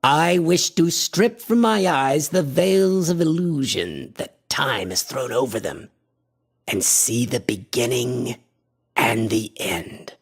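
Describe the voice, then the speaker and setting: Radio horror role: male actor as a grandiose, obsessed scholar-visionary, husky and theatrical, with a widely swinging, declamatory delivery. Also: mid-range voice, expressive, slow, heavily acted. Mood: grandiose, mystical. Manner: impassioned, declamatory, pompous.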